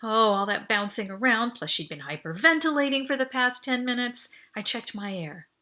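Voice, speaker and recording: A woman reading first-person audiobook narration in an expressive, urgent, dramatic way. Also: a little high, moderate pace. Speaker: author reading own novel.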